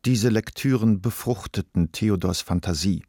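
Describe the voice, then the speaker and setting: A man's voice: lowish voice, moderate pace, warm tone, dry recording, clean studio sound. A man reading scripted literary narration as the narrator of a radio play.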